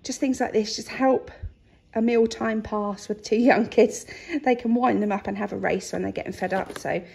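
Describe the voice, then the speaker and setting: A woman's voice: medium pitch, normal speed, vocal fry, a little hiss or hum. A woman, casually chatting through her grocery haul in her kitchen. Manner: chatty, relaxed, with frequent ums. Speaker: vlogger showing a grocery haul. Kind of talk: casual chat to camera. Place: kitchen, indoors.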